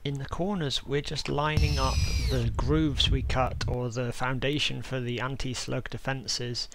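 A man's voice: medium pitch, fairly even intonation, measured pace, vocal fry, noisy background. A man giving a conversational, explanatory DIY voice-over in an understated, informal way. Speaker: DIY video maker and narrator.

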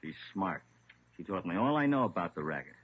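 A defiant street-tough young crook from an old radio crime show, his low voice swinging widely in pitch. He talks in sullen slang through a dull, faintly noisy vintage recording. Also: sing-song, fairly quick, slightly rough, exaggerated voice. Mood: sullen, scornful. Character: defiant young male hoodlum, twenty-one, cocky car thief.

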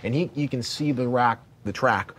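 A man, narrating a tabletop horror game as game master in a halting, dramatic, descriptive way. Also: medium pitch, fairly bright tone, slight background noise. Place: indoor studio set.

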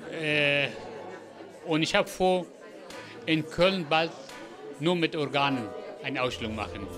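A man born in Afghanistan, an artist, talking about his paintings into a handheld mic at a gallery opening, halting with many fillers. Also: a little high. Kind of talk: interview statement. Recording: crowded gallery with music. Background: music and crowd chatter.

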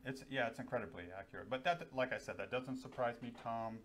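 A man's voice: medium pitch, brisk, a bit bright. A middle-aged man chatting casually about gear, easygoing and enthusiastic, with lots of fillers, on a lapel mic in a small studio. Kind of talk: casual product chat. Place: small indoor studio with guns on wall.

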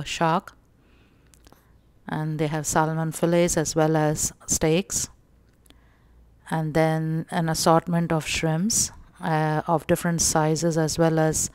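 A woman from India narrating a casual shopping walkthrough vlog, calm and explanatory with frequent pauses and fillers. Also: deep voice, clean tone, no echo. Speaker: vlogger.

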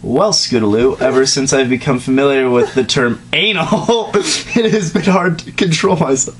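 A man's voice: medium pitch, normal speed. Young man reading aloud, noisy recording. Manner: sarcastic, stumbling over words when reading. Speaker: co-host reading fan fiction aloud. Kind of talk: casual read-aloud with joking asides. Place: small bedroom, indoors at night.

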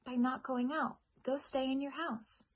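A woman voicing a caring, earnest female nurse in a children's storybook, instructive and husky. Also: high voice, steady tone, a little slow, pitched above the performer's own voice. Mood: earnest, gently urging.

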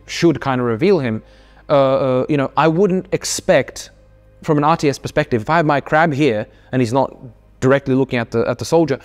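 A man, a game developer answering interview questions in a relaxed, filler-heavy way while gesturing. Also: a little high. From Australia. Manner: explains with lots of fillers, restarts and hand gestures. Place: indoor office studio.

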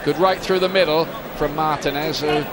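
A man giving live boxing commentary at ringside, mostly measured play-by-play that rises to excited shouting at the knockdowns. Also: a little high. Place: arena ringside. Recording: broadcast with loud crowd noise. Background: loud arena crowd.

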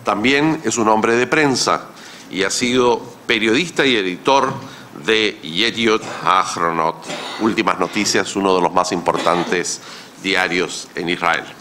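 Middle-aged man, formal moderator, unhurried, bright tone, table mic. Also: on the low side, a little hiss or hum. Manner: measured, ceremonious delivery with pauses. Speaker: panel moderator. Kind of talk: formal introduction speech. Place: conference hall, seated at panel table. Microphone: gooseneck table mic.

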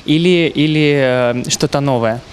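A man's voice: on the high side, unhurried, a little hiss or hum. A young man working as a TV interviewer, asking questions in a conversational way. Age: young adult. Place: lounge at an event, indoors. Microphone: handheld interview microphone.

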